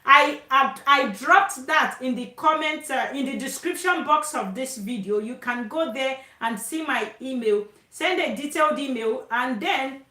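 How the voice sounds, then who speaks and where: A woman hosting a live stream who talks loudly and briskly, calling herself a talker. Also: sits high, reverberant. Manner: loud, talkative and brisk. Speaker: host.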